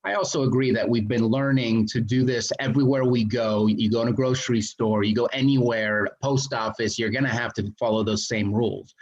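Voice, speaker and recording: A man's voice: mid-range voice, fairly even intonation, brisk, few pauses, dull audio. Man talking at a committee meeting. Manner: talkative, self-described loud voice. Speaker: committee member. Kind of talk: meeting discussion.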